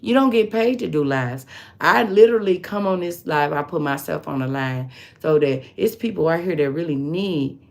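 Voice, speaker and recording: A raspy-voiced woman hosting a live stream, talking bluntly and preachily. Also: low voice, expressive, moderate pace, darkish tone. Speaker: livestream host.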